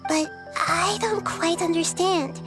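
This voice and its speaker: A woman voicing a small, child-like goddess in a video game, wise and earnest, explaining things in a gentle, articulate, thoughtful way. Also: very high-pitched, slow. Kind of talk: video game character acting. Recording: game music behind the voice.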